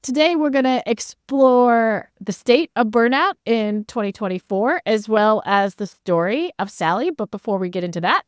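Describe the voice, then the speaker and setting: A woman's voice: fairly high pitch, expressive, normal speed, hardly any pauses, clear, resonant tone, dry recording, studio quality. A woman hosting a podcast, asking questions in a conversational interview style.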